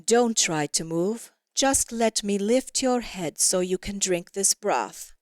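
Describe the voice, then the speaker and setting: A woman voicing a gentle, caring male priest in a storybook tale, soothing and kindly. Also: medium pitch, expressive, slow, no echo, clean studio sound. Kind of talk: storybook character voice in audio theatre.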